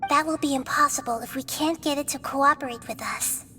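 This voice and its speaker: A woman voicing a gentle, wise little girl deity in a video game, with a soft, youthful, calm and earnest delivery. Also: high-pitched, brisk, background noise, close to an everyday voice. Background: game music.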